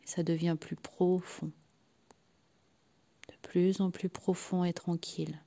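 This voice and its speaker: A woman leading a guided yoga nidra relaxation in a soft, sometimes breathy voice, soothing and unhurried, leaving long pauses between short phrases. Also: on the low side, flat delivery. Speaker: guided meditation and sophrology practitioner.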